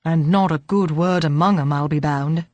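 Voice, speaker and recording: A frail old man with a low, creaky, growling voice, as a woman reading aloud puts him on. He grumbles gruffly yet kindly, in a dry, close storybook reading. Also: moderate pace, darkish tone, no echo, lightly acted. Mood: grumbling.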